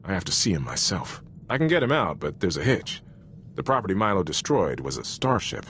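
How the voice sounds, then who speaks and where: A loyal male soldier in a cinematic sci-fi game. His low voice moves with lively intonation, frank and sincere in an informal military way. There is faint noise in the background. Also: brisk. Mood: concerned, urgent. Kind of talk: cinematic video game voice acting.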